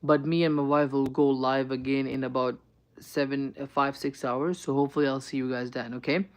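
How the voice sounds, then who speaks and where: A man's voice: mid-range voice, level tone, a little fast. A young man on a live stream, answering chat questions with earnest, reassuring advice and lots of ums and "right?".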